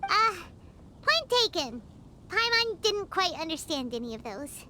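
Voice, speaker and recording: A woman's voice: very high-pitched, sing-song, a little slow, noisy background, heavily acted. A woman voicing a tiny, perky, cheeky fairy-like companion girl in an anime fantasy game. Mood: curious. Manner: refers to self in third person, perky.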